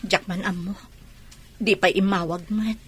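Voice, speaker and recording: A woman's voice: medium pitch, steady tone, measured pace, breathy edge. A woman with an Ilocano accent playing a wife and mother in a radio drama. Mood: sad. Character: hurt wife and mother on her birthday. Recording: light music underneath.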